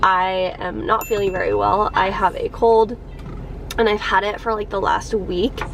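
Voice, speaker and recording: A young woman talks to her camera from a parked car, her voice stuffed up from a cold. She sits at a middling pitch and rambles in a friendly way, full of ums and likes and small laughs. Faint traffic hum sits behind her. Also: fairly even intonation, unhurried. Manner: chatty, many ums and likes, laughs a little. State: congested from a cold. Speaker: vlogger. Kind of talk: casual chat to camera.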